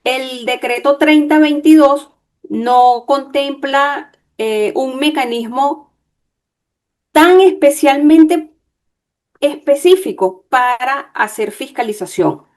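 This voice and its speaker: Slow, measured woman explaining. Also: high voice, a little mellow. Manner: measured, explanatory, checks in with listeners. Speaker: lawyer giving a workshop. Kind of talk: online workshop talk.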